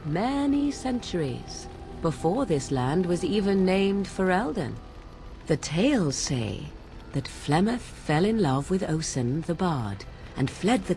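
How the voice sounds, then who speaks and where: A low-voiced, aloof young witch. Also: darkish tone, a little hiss or hum. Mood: storytelling, measured. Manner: archaic, formal, measured diction. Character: aloof young witch of the wilds telling her mother's legend. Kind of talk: theatrical storytelling in fantasy game dialogue.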